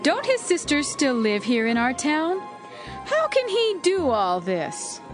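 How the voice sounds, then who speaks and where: Casting: actress as disbelieving village woman, slow, wildly swooping indignant delivery, scripture drama. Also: high-pitched, wildly swooping pitch, over music, heavily acted. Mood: astonished indignation. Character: incredulous, indignant hometown townswoman.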